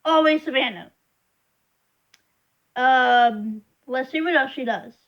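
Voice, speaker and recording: Young woman, high voice, slow unhurried delivery, frustrated rambling vlogger. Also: a bit bright, highs cut off. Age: young adult. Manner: frustrated, rambling, emphatic complaining. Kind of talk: casual venting monologue.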